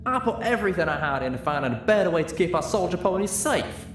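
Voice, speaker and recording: A man's voice: high voice, big pitch swings, quick pace, reverberant, pitched above the performer's own voice. A man voicing an older cartoon-style pony mare in an audiobook, a folksy, emphatic country boss, strained with fury and heartbreak. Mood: furious, anguished.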